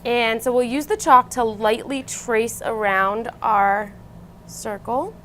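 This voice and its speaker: A woman's voice: a little high, steady tone, slow, pushed and bright. A woman co-hosting a craft show, demonstrating steps casually and chatting around a table indoors. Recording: noisy room with table sounds.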